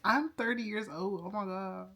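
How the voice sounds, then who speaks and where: A woman's voice: medium pitch, moderate pace, fairly bright tone. A woman in her thirties speaking candidly and from the heart in a casual confessional vlog, stretching words for emphasis. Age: 30s. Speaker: vlogger.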